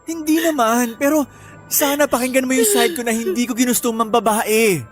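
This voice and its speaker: A man's voice: extremely high pitch, fairly even intonation, normal speed. A guilty young boyfriend with a Filipino accent. He pleads and explains in an earnest, worn-out way, in radio drama style over faint background music. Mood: apologetic. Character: guilty, apologetic young boyfriend, weary and self-justifying.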